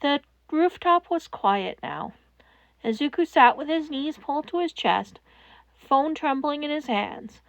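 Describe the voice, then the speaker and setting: A woman reading fan fiction aloud as audiobook narration, in a slow, measured way. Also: high voice, animated, vocal fry, dry recording, low-quality recording. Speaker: podfic reader.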